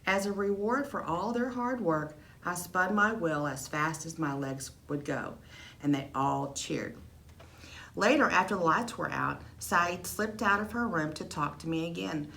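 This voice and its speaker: Low-voiced woman reading a children's story. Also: unhurried, creaky, bright, forceful tone. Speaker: teacher reading aloud.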